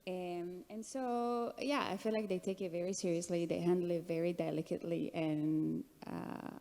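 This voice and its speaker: A woman's voice: fairly low pitch, steady tone, normal speed, vocal fry, warm tone. A woman talking casually as an actress on a panel, recorded in an echoey hall. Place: large indoor conference hall.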